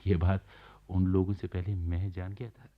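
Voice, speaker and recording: An actor playing a genial, wry government officer laid up in bed, confiding in the listener as a radio play narrator. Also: male voice, low-pitched, flat delivery, normal speed, natural voice. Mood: fond, amused. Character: genial bedridden government officer recounting his neighbours' life. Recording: dull archival radio recording.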